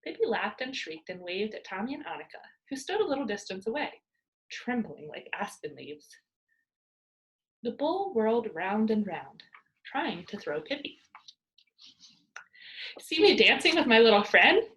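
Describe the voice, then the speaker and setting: A woman reading a children's book aloud as a storyteller, with expressive narration for young pupils. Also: mid-range voice, normal speed, rough recording.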